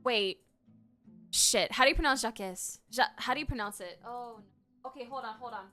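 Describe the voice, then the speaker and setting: A woman streaming a game reaction, talking in an excitable, giggly, chatty way. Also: fairly high pitch, brisk, faint music behind.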